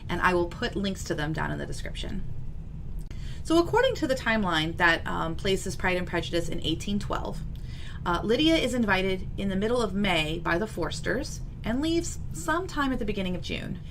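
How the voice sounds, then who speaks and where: A woman's voice: medium pitch, sing-song, moderate pace. A middle-aged woman talking to camera as an author introducing her book, chatty and wry with knowing asides, in a home office. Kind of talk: semi-scripted video introduction to camera. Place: small home office with bookshelves, indoors. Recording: clearly noisy room recording.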